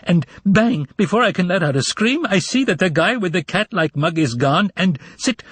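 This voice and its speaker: A frantic young secretary in a storybook reading, her soft voice gone a little nasal from crying, as a male narrator puts her on. She runs on breathlessly through her tears, her pitch raised well above his own and leaping about. The voice is faintly husky and dry, with no room around it. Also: lively intonation, normal speed, dry recording, full character voice. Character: distraught young woman secretary, tearful and frantic. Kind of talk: audiobook character voice.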